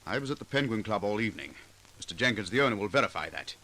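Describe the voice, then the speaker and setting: An actor playing a vain, smoothly evasive male stage star with a breezy but defensive manner in 1940s radio drama. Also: lowish voice, very animated, very fast, sharp tone, heavily acted, rough recording.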